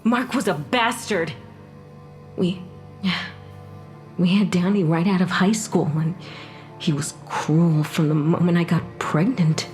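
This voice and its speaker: An actress playing the ghost of a murdered young mother in an audio drama, sharp-tongued and emotional, halting when upset. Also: lowish voice, soft background music. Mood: grieving, bitter. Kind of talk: dramatic audio fiction acting.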